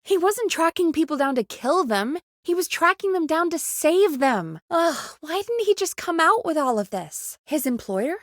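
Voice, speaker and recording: A woman's voice: very high-pitched, big pitch swings, moderate pace, few pauses, no echo, studio quality. A woman playing a frank, anxious but caring radio producer in a video game, talking in an informal, emphatic, exasperated way. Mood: troubled. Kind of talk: conversational video game voice acting.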